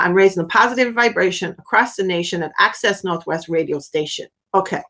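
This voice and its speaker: Middle-aged woman, a medium hosting a live stream; chatty and upbeat, average pitch with playful jumps. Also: fairly bright tone. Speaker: spiritual medium hosting a livestream. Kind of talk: casual live-stream chat.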